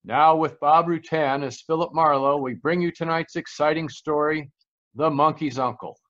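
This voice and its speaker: A man's voice: a little high, fairly even intonation, normal speed, a bit bright, highs cut off, lightly acted. A man announcing a scripted program in the style of 1940s radio.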